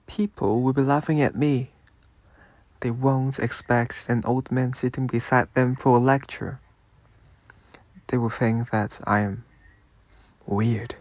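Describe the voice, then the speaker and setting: A man's voice: medium pitch, steady tone, takes it slowly, long pauses, a little mellow, lo-fi sound, lightly acted. A man playing an earnest, easily hurt eighteen-year-old who looks old, in a radio drama. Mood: reluctant, dutiful. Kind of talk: amateur radio drama acting.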